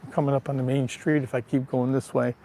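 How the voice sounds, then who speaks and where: Male vlogger, raspy from allergies, chatty tour-guide manner, greets viewers by name; phone mic outdoors, light traffic hiss. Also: mid-range voice, flat delivery, fast, dark tone. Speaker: livestream vlogger. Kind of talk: casual live walking tour. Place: outdoors on a town street. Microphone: handheld phone.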